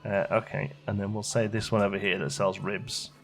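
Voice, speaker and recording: A man's voice: on the low side, little pitch movement, moderate pace, over music. A man giving casual gameplay commentary, chatting and musing aloud as he questions his own choices, as a let's play host.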